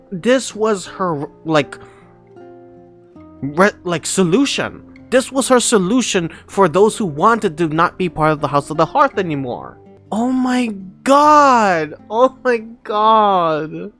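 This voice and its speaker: A woman giving casual, emotional, exclamatory reaction commentary as a game streamer. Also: medium pitch.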